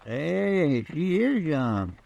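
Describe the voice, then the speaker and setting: Guy doing a folksy cowboy sidekick, highish rural american drawl, simple and hesitant, old radio western. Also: normal speed, dull audio, close to an everyday voice. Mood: curious, eager. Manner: folksy, hesitant, plain-spoken. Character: simple, folksy, deferential male marshal's sidekick.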